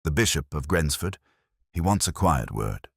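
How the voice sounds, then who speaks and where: A man as a gruff, very deep-voiced police superintendent. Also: big pitch swings, moderate pace, a bit bright, dry recording, studio quality, heavily acted. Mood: irritated. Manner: curt, grumbling. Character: irritable, gruff male police superintendent, suspicious and weary.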